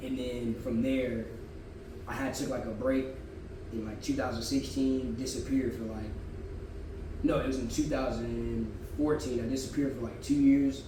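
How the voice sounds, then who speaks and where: A raspy-voiced man in his thirties, a podcast guest, telling his story in a laid-back, casual way. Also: medium pitch, flat delivery, moderate pace. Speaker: guest musician. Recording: echoey room, distant mic, background noise.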